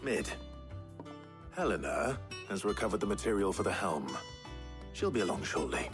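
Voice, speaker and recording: An actor playing a stoic, dependable fantasy hero in a video game, with a terse, measured, dry way of speaking. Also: male voice, deep voice, big pitch swings. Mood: dry, weary. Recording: loud music behind the voice.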